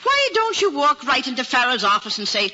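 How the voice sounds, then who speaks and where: An actress playing a sharp-tongued, nagging working-class wife in a radio drama, sarcastic, insistent and scolding. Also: female voice, sits high, sing-song, a little fast, exaggerated voice. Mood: urging. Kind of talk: old-time radio drama acting. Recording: muffled old radio recording, faint noise.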